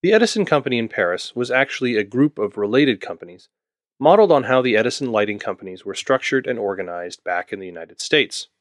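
A man's voice: medium pitch, very animated, fast talker, no room sound, clean studio sound. A man from Ontario narrating a scripted history podcast, with wry asides to the listener. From Ontario, Canada. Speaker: podcast host.

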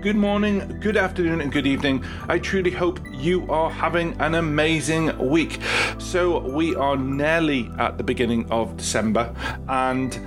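A man's voice: a little high, normal speed, over music. A man hosting a business podcast as a performance coach, reading a polished intro and then chatting with his guest in an upbeat way. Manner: upbeat, polished greeting, warm encouragement. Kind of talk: scripted intro then casual interview.

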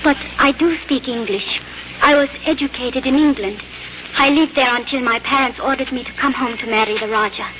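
Actress as very high-voiced, earnest young woman. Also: normal speed, close to an everyday voice. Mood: subdued, explaining. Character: young Indian woman, English-educated, earnest and bold. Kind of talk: 1950s radio drama acting. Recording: dull, very noisy old radio recording.

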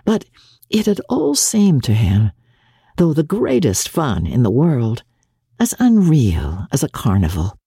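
A woman reading a classic novel aloud as a dramatic audiobook narrator, in a measured, expressive way with deliberate phrasing. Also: very deep voice, breathy edge, no echo, clean studio sound.

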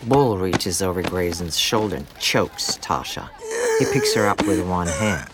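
A man's voice: low-pitched, huge pitch swings, unhurried, very noisy. A man reading scripted scene narration for an audio drama.

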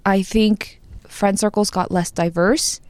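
A woman's voice: medium pitch, steady tone, slow, darkish tone, no room sound. A young woman who was born in Korea and speaks Korean as her first language, chatting as a podcast guest. She tells stories with lots of laughter and acts out the voices she quotes. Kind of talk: casual chat.

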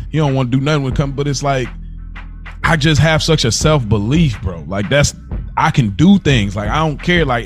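An American man co-hosting a podcast in casual chat, slangy and emphatic, often repeating himself for stress. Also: medium pitch. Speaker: podcast co-host.

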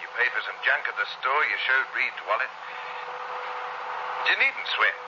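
An actor playing a shrewd, brazen ship's purser turned blackmailer in a 1960s radio suspense play, speaking in terse, insinuating phrases. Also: male voice, mid-range voice, normal speed, natural voice. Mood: menacing, cool. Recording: muffled, noisy old radio recording.

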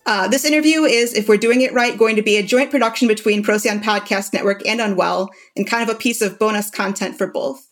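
A woman's voice: mid-range voice, brisk, few pauses, faint music behind. A woman hosting a podcast, introducing interview guests in a conversational, prepared way. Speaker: podcast host and writer.

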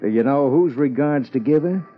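An actor playing a gruff, shady American tough guy in a 1950s radio crime drama, curt, slangy and bossy. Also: mid-range voice, normal speed, close to an everyday voice. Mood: brusque. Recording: dull lo-fi old radio recording.

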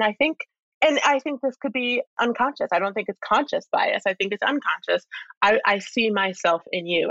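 A dry, slightly muffled recording of a woman with a mid-pitched voice. She talks as an interview guest, thoughtfully laying out her argument and stressing points in a conversational flow. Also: a bit bright, no room sound. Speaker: interview guest, expert commentator.

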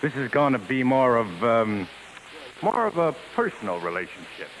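A man playing a self-assured American vaudeville musician in a 1950s radio drama, casual and cocky but ready to smooth things over. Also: medium pitch, big pitch swings, moderate pace, very noisy, close to an everyday voice.

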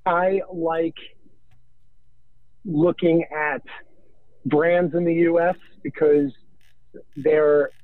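American guy being interviewed over a tinny phone line, slightly high voice, careful halting delivery. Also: dry recording. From New York, United States. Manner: measured, deliberate, halting phrasing with pauses. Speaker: interview guest, business executive. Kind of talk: interview answers on business and finance.

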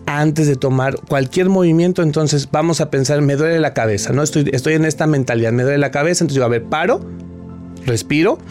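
Mellow-voiced man explaining steadily. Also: a little high, fairly quick, faint music behind. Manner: explanatory, with frequent restarts. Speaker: guest expert. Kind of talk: interview answers.

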